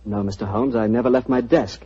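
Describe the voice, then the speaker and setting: A man's voice: mid-range voice, fairly even intonation, brisk, warm tone, slightly rough, natural voice. An actor playing an earnest, anxious young man under arrest in a 1940s radio drama. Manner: earnest, pleading. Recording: muffled old radio recording.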